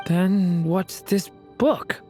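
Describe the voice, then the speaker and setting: A woman's voice: lowish voice, extremely slow, warm tone, faint music behind. A woman voicing an earnest, hesitant young boy in a video game. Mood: thoughtful, questioning. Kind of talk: video game character voice acting.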